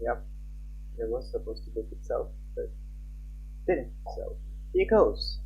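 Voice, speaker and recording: A man's voice: medium pitch, expressive, takes it slowly, gravelly, a little hiss or hum. A young man live-streaming a game, chatting casually and reading on-screen text aloud. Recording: dull lo-fi mic with background music. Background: game music.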